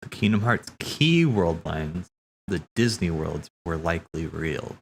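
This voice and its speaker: A man streaming casual, rambling theory commentary, thinking aloud haltingly, correcting himself and swearing casually. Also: low voice, animated, vocal fry, a little hiss or hum.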